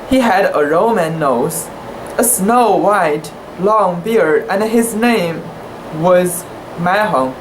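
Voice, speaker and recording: A woman giving an amateur dramatic reading of a classic sea story, careful and deliberate, with the occasional stumble. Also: medium pitch. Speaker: amateur reader. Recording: echoey room with steady background hiss.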